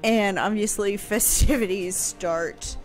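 A woman's voice: medium pitch, slow, soft background music. A woman in her thirties explaining casually as a podcast historian, close on a broadcast mic in a room at home. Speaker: historian answering questions. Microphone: close broadcast microphone on boom arm.

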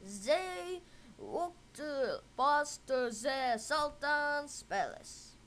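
A woman reading a fairy tale expressively and deliberately for children and English learners. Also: high-pitched, lively intonation, fairly bright tone. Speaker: storyteller, English teacher.

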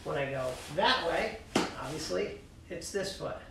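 A middle-aged man talks as a ski coach, walking through foot and boot mechanics with steady cues. His voice sits a little high with some gravel in it, and it bounces around a hard, roomy workshop. He sounds well off the mic, with a faint hum of room noise under him. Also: a little slow. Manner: explains calmly with coaching cues while demonstrating. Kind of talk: instructional demonstration. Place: cluttered indoor ski workshop. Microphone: far camera. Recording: echoey room, mic far off.

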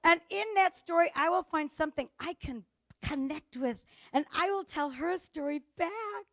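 A woman with a Chicago accent giving a humorous conference talk on gender differences, telling stories and acting out dialogue with lively delivery. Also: high-pitched, normal speed, no room sound, clean studio sound. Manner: lively storytelling with acted-out dialogue. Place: conference stage before an audience.

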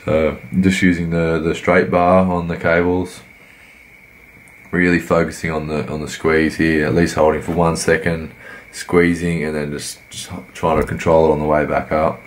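A man, croaky from a cold, giving laid-back, rambling voice-over commentary on his workout. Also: very deep voice, little pitch movement, moderate pace, slight background noise. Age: 20s. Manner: laid-back, rambling, many fillers and restarts. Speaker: fitness vlogger.

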